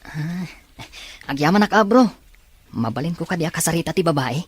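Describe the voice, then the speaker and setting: A man's voice: on the high side, animated, fairly quick, breathy edge, dry recording. A man with a Filipino accent acting an earnest, respectful young man in an audio drama. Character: earnest young man searching for his twin, respectful son.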